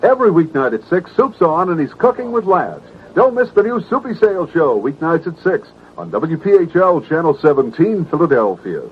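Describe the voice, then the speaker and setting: Tinny, lively 1970s male promo announcer. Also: a little high, normal speed, hardly any pauses, slight background noise. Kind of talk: scripted 1970s broadcast ad read. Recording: tinny vintage radio ad, loud music. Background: music.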